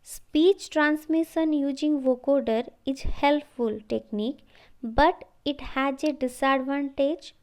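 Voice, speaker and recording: A woman giving an explanatory slide lecture, halting and repeating phrases as she goes. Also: sits high, darkish tone. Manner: halting, repeats phrases, often says okay.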